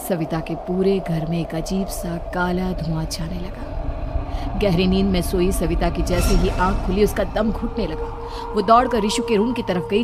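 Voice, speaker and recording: A woman delivering scripted horror story narration as a narrator. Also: on the low side.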